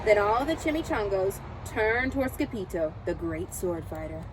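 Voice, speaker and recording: A woman reading a children's story aloud in an expressive, dramatic way, with Spanish words sprinkled in. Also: medium pitch, moderate pace, noisy background. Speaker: storybook reader. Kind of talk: animated children's read-aloud.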